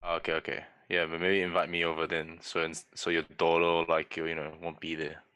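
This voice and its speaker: A man with a very deep, bassy voice jokes around on a casual video call with friends. His tone is bright and forward despite the low pitch, and he teases with a playful edge. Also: completely flat delivery, a little fast, clean tone, dry recording. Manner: joking, playful.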